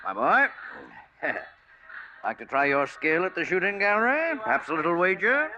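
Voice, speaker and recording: A man's voice: sits high, very animated, moderate pace, crowd noise. An actor playing a genial, sly old man with coaxing, courteous talk in a 1940s radio drama. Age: older. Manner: genial, chuckling, wheedling, old-fashioned courtesy. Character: folksy, sly old man who befriends lonely strangers. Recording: dull old radio recording.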